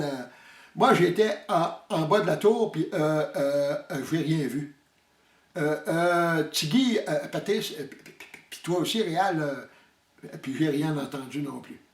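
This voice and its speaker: A man doing a stammering, hesitant hockey guy. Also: a little high. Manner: stutters, repeats names, lots of hesitations. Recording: roomy echo, distant mic.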